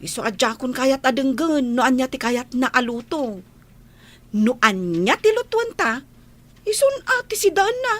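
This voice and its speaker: A woman playing a cheeky, stubborn housemaid with drawn-out, teasing delivery in an Ilocano radio comedy. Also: sits high, big pitch swings, heavily acted.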